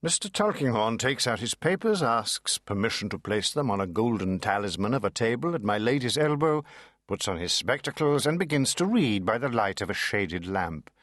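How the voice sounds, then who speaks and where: A man narrating classic fiction as a dramatic audiobook, measured and savouring each phrase. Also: medium pitch, very animated, fairly bright tone, no echo, clean studio sound.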